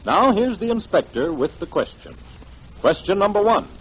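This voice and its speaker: A man's voice: sits high, big pitch swings, normal speed, fairly bright tone, breathy edge. An American man announcing on 1940s radio in a scripted, emphatic, formal promotional style. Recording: dull 1940s radio broadcast.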